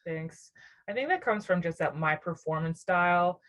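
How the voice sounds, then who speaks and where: A woman's voice: lowish voice, expressive, moderate pace, a bit bright. A young adult woman from near Nashville, a musician guest on an interview show, talking in a rambling, reflective way with many fillers and frequent laughs. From Southern US, near Nashville, Tennessee. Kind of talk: casual interview chat.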